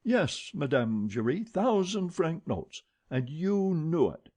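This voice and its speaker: A man's voice: a little high, sing-song, slow pace, darkish tone, pitched above the performer's own voice, heavily acted. A man voicing a hot-tempered theatre manager who blusters and accuses, in a storybook reading. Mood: stern.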